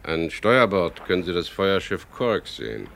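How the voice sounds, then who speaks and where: An actor playing a dry, businesslike skipper in a radio crime drama, with a gravelly voice. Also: male voice, sits low, sing-song, a little slow, fairly bright tone, slight background noise, exaggerated voice. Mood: brisk, probing. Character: wary, businesslike skipper of a chartered sailboat, also narrating. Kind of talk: 1970s German radio crime drama, narration and dialogue.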